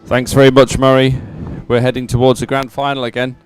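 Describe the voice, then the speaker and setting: A man giving live sports commentary as an event announcer, in a measured, hesitant way with frequent pauses. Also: mid-range voice, completely flat delivery, soft background music, low-quality recording.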